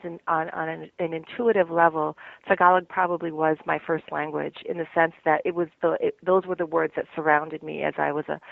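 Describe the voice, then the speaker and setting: A woman's voice: deep voice, creaky, no echo, narrow, tinny audio. An American woman author being interviewed, speaking in a thoughtful way, searching for words and laughing often. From United States. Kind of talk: reflective interview talk.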